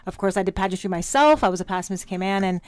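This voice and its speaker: Woman, smooth crisp voice, dry close sound, earnest, lots of "um" and "you know". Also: medium pitch, quick pace, no echo. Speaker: pageant director, former pageant winner. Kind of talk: radio interview guest. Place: radio studio.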